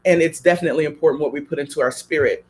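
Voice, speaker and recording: A man's voice: on the high side, racing, slight background noise. A man on a radio talk show, speaking in a preacherly way and building his points with strings of repeated questions. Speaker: guest.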